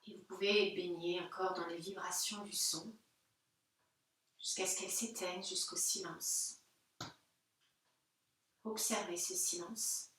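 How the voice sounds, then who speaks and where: A woman leads a guided yoga relaxation in a slightly low voice. She speaks gently and without hurry, leaving pauses between short instructions. The sound is strongly reverberant, with the microphone some distance away. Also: steady tone. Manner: gentle, unhurried, pausing between short phrases. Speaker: yoga teacher. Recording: very echoey room, distant microphone.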